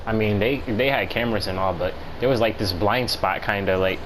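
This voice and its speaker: An American man chatting casually as he tells a story, with slangy, profane and halting delivery. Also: lowish voice, a little hiss or hum, poor audio. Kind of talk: casual storytelling chat among friends.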